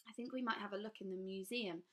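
A woman's voice: mid-range voice, steady tone, quick pace, close to an everyday voice. A woman reading a storybook, voicing a plain governess who speaks in an expressionless voice. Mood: deadpan. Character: dry, plain governess, unsentimental.

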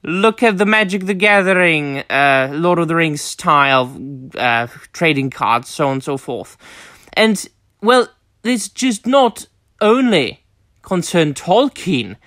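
A young man from the Republic, hosting his channel with a formal, deliberate opinion monologue full of fillers and restarts. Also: on the high side, animated, sharp tone, no echo, studio quality. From Czech Republic. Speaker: channel host.

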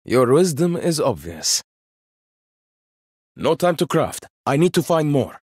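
A proud young inventor-warrior from a video game, with a slightly high voice that swings lively up and down. He speaks slowly and deliberately, confident and dryly witty. The sound is close and dry, with no room around it. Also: slightly rough, dry recording, exaggerated voice. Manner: measured, proud, dry wit. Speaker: game character.

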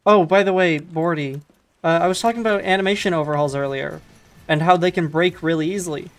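A woman with a low voice, streaming a game and chatting casually with friends, restarting her sentences. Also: quick pace. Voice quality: low-pitched voice. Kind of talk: casual gaming chat with friends.

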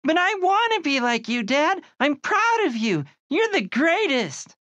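A woman's voice: high voice, sing-song, unhurried, fairly bright tone, dry recording, dull audio, exaggerated voice. A woman voicing a pleading, adoring eight-year-old boy in a comic-book audio drama.

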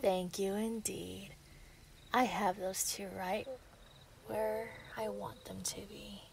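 A woman playing a possessive, mocking yandere werewolf in an ASMR roleplay, taunting and gloating with drawn-out threats. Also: medium pitch, little pitch movement, vocal fry, a little hiss or hum. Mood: sly whispering. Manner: taunting, drawn-out, laughing delivery with whispered threats. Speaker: roleplay voice actor. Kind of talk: scripted ASMR roleplay acting.

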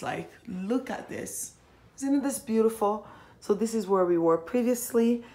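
A woman narrates a casual house tour for her viewers. Her voice is mellow and kept low, as if not to disturb others. She takes her time and gushes admiringly, chatting as she walks from room to room with the camera in hand. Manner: hushed, keeping voice low; admiring, gushing. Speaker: home tour vlogger. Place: indoors, walking through a furnished model home. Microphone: handheld phone or camera held by the speaker.

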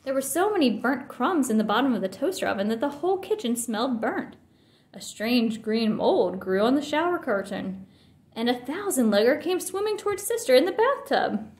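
A woman's voice: high-pitched, big pitch swings, moderate pace, a little mellow, echoey room. A woman reading a children's picture book aloud with expression. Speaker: storybook reader.

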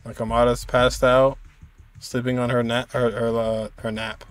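A man's voice: medium pitch, level tone, normal speed. A young man giving casual live reaction commentary as he streams a video game. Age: young adult. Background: game music.

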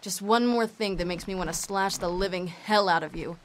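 An actress playing a cocky, sardonic half-vampire heroine in a video game, tossing out sarcastic taunts. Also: female voice, medium pitch, normal speed, a little hiss or hum, close to an everyday voice. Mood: sarcastic, flippant. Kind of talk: video game cutscene acting.

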